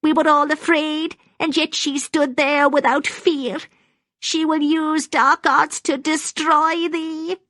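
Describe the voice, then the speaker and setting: A high-pitched, quavering old woman in an audiobook. She is addled and fearful, with a childlike temper and a rattle in her voice. The sound is close and dry. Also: flat delivery, takes it slowly, a bit bright, dry recording. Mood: frantic, ominous. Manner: dramatic, snivelling, childlike. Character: frightened, childlike, addled old Scottish clanswoman.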